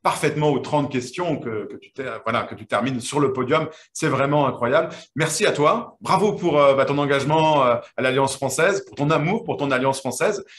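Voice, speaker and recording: A man's voice: mid-range voice, brisk, few pauses, fairly bright tone, echoey room. A man hosting an online quiz final, interviewing the winners in a friendly, hesitant way with many fillers. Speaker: host.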